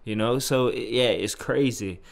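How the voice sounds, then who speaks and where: A man from the UK hosts a casual podcast interview in a mid-pitched voice. He keeps up affirming, enthusiastic reactions and talks loosely and informally. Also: fairly even intonation, normal speed, vocal fry. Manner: affirming and enthusiastic, frequent interjections, says "bro". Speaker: podcast host.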